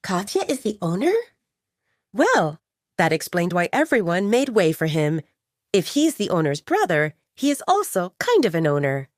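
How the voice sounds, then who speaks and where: A woman's voice: lowish voice, very animated, moderate pace, no echo, clean studio sound. A woman reading scripted romance fiction as a dramatic audiobook narrator.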